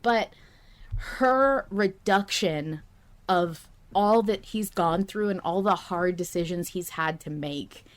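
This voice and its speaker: A woman hosting a casual fan discussion podcast, speaking in an emphatic, passionate and halting way. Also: on the low side. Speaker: podcast host.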